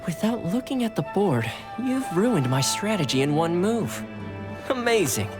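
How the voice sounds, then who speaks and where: A man playing a friendly, easygoing young retainer in an anime-style game, casual and expressive. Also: sits high, measured pace, music in the background. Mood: impressed, musing.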